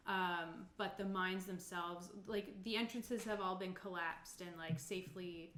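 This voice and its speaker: A woman's voice: on the low side, steady tone, a little slow, fairly bright tone. A woman narrating casually as game master at a tabletop roleplaying session. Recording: echoey room, noticeable background noise.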